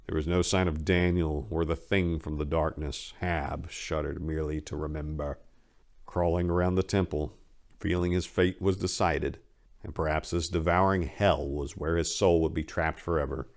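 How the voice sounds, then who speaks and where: A man narrating a dark horror story as a dramatic reading, with theatrical, intense and deliberate phrasing. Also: extremely low pitch, little pitch movement.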